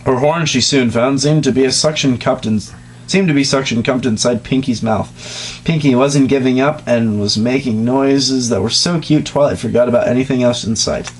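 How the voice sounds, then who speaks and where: A man's voice: mid-range voice, normal speed, breathy edge, rough recording. A man reading a story aloud to a friend in a mock-serious tone, stopping often for teasing jokes. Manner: mock-serious narration broken by teasing asides. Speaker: reader of a fan story. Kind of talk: comic dramatic reading among friends.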